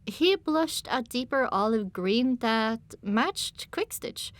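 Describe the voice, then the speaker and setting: A woman's voice: fairly high pitch, animated, takes it slowly, dry recording. A woman reading scripted first-person story narration as an audiobook narrator.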